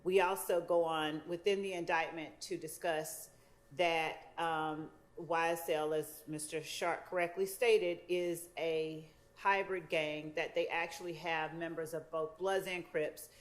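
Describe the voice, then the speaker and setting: A woman speaking as a prosecutor, making a formal, deliberate and somewhat halting argument to the court. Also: low voice, steady tone.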